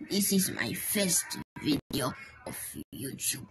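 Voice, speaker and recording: A woman's voice: deep voice, big pitch swings, slow, noisy background. A woman casually commenting on her own building game, often dropping into whispers, recorded on a phone. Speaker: gameplay channel host. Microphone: phone mic.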